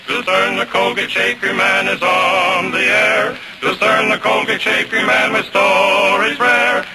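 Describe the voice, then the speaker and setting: A man's voice: high voice, flat delivery, unhurried, low-quality recording. A man singing a 1940s radio commercial jingle. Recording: old radio with loud music.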